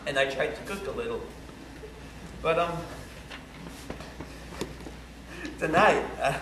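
A man's voice: on the high side, animated, normal speed. A man performing a stage monologue as an unhinged, panicking murderer confessing by phone, stammering and breaking into nervous laughter. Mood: shaky, spent. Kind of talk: theatrical monologue as a one-sided phone call. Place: large room at a banquet, recorded on camcorder. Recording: echoey hall, loud noisy camcorder audio.

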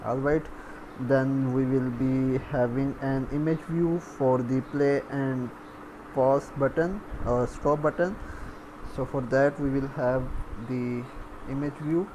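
A man talks through a screencast programming lesson at a slow, halting pace, thinking aloud as he goes. His delivery is very flat, with little movement in pitch. There is clear noise behind his voice. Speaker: programming tutorial instructor. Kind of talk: unscripted screencast coding tutorial. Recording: clear background noise, room ambience.

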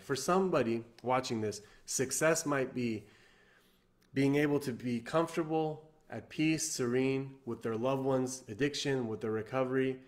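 A man in his thirties, from Iran, giving an earnest motivational talk to camera from his living room, repeating himself and restarting often. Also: mid-range voice. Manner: earnest, repetitive, many fillers and restarts. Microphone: webcam or laptop camera at desk.

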